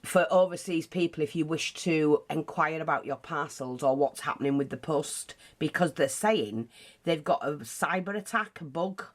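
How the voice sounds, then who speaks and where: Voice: medium pitch, normal speed. A craft teacher with a British accent, nose bunged up, chatting casually through a tutorial with frequent asides. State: blocked nose.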